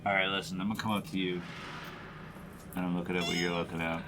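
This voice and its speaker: A man's voice: low voice, level tone, fast talker, noisy background. A man playing a tabletop game, chatting casually and jokingly with slangy, profane wording.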